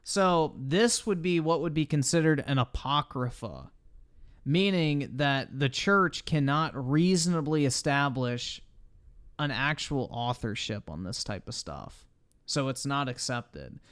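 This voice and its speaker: A man's voice: medium pitch, lively intonation, unhurried, a bit bright, smooth. A man livestreaming casual reaction commentary in a blunt, profane manner.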